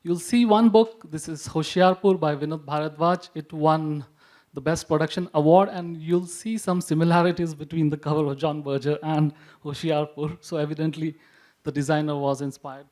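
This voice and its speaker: A man with a fairly high voice, from Maharashtra in India and a native Punjabi speaker, presents at a panel. He jokes drily and pauses often with "uh". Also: steady tone, a little mellow. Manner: wry, joking, with frequent "uh" hesitations. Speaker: publisher presenting.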